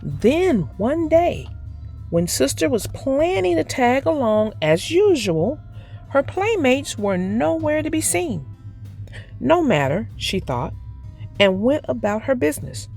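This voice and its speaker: A woman narrating a children's read-aloud as storyteller, expressive and deliberate. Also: high-pitched, faint music behind.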